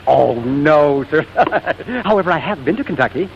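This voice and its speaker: An actor playing a polite, pedantic bartender with formal, deferential phrasing, in 1940s radio comedy style. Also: male voice, on the high side, expressive, a little slow, gravelly. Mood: deferential, cheery. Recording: dull, noisy old radio recording.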